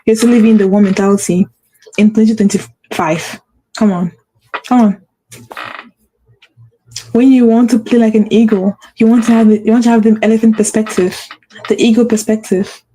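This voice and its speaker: A woman's voice: a little high, steady tone, moderate pace, pauses often, warm tone, slight background noise, poor audio. A woman hosting a motivational talk, speaking with a stutter and repeating phrases for emphasis. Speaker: host.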